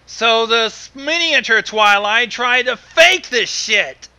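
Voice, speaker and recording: Super high sharp voiced guy reacting casually, exasperated, talks slow, swears. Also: lively intonation, no room sound. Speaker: commentator on a fan fiction reading.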